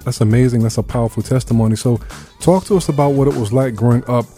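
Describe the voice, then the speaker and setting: Mellow-voiced American male interviewer. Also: medium pitch, quick pace, faint music behind. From Brooklyn, New York. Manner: encouraging, complimentary. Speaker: show host interviewing a guest. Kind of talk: conversational interview.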